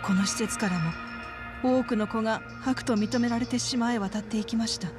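A woman playing an earnest, troubled middle-aged caretaker in a game cutscene, speaking politely in measured, halting phrases. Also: fairly high pitch, music in the background, lightly acted. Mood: troubled, confiding. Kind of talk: dramatic game cutscene acting.

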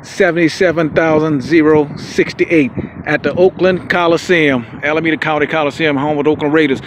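A man's voice: fairly high pitch, steady tone, fairly quick, noisy background. Older man announcing mileage outdoors. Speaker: motorcycle traveler. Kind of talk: casual travel vlog chat.

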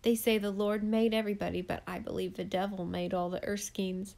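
A woman voicing a sharp-tongued, gossiping eccentric woman who mocks her neighbours in church loudly and with scorn. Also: medium pitch, little pitch movement, fairly quick, creaky, close to an everyday voice. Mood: derisive. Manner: loud, derisive, scornful.

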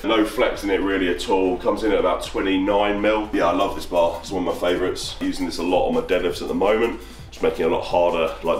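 Deep-voiced man touring his gym. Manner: chatty, matter-of-fact, walking around pointing at kit. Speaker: strongman showing his home gym. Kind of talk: casual gym tour. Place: small wooden home gym barn. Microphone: handheld camera close up. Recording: echoey wooden room with faint music.

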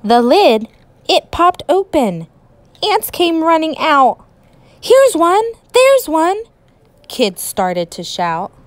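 A woman with a high, very lively voice reads a picture book aloud like a teacher to young children. She goes slowly, with expressive emphasis and dramatic pauses, in a dry, close recording. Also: no echo. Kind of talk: animated children's storybook read-aloud.

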